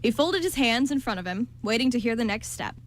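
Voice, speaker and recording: A woman with a slightly high voice reads scripted narration. She serves as the narrator of a radio drama. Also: animated, a little fast, a little hiss or hum.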